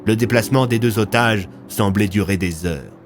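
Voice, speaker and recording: A man's voice: on the low side, moderate pace, over music. A man narrating scripted audio fiction in a dramatic storytelling manner.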